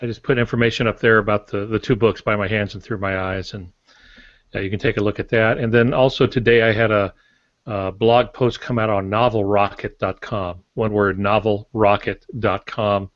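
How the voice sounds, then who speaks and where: An older man speaks in a fairly low voice as a podcast co-host. His manner is casual and unhurried. The recording is dry, with a dull, lo-fi edge. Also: steady tone, no echo. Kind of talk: casual chat. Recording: dull lo-fi audio.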